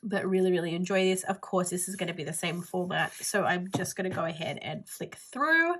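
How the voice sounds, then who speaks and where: A woman's voice: on the low side, expressive, a little fast, fairly bright tone, slight background noise. A woman with a stuffy nose and a nasal voice, chatting casually through a planner haul video while she handles her items. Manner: chatty, rambling with "like" fillers. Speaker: planner vlogger.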